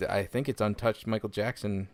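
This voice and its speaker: A man's voice: fairly low pitch, steady tone, normal speed. A man co-hosting a podcast, talking casually and informally as he chats and presents items. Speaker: podcast co-host. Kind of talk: casual chat and gaming news segment.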